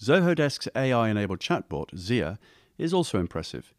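A man reading a scripted explainer voice-over for a software review video, recorded close to the mic. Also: on the low side, very animated, normal speed, dry recording.

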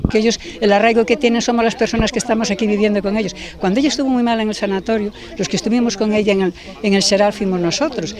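Older woman, crowd chatter behind. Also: medium pitch. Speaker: neighbor. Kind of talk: street interview. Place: outdoors in a garden. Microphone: handheld interviewer mic. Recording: outdoor interview with crowd behind.

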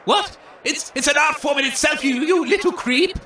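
A man's voice: very high voice, moderate pace, bright, forceful tone. A man playing a touchy, desperate would-be lady singer in a comic audio drama, stammering and theatrical. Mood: flustered, indignant. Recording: roomy, distant mic, music behind.

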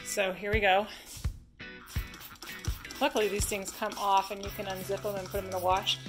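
Female home-cleaning vlogger, average pitch, informal chatty delivery, music clearly behind her. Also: flat delivery, moderate pace. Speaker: cleaning vlog host. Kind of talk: casual product-test voice-over.

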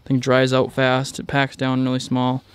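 Guy vlogging outside, smooth clear voice, chatty and kinda rambly, just showing stuff around. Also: medium pitch, completely flat delivery, moderate pace, no room sound. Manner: rambling, informal. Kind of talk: casual walkaround narration. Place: outdoors in a driveway beside a truck.

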